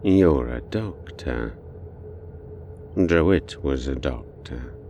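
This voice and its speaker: An actor playing an eerie, composed boy murderer in an audio horror drama, with a gravelly, deliberate, pedantic delivery. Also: rumbling low, lively intonation, mellow, highs cut off, a little hiss or hum, exaggerated voice. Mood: probing, unsettling.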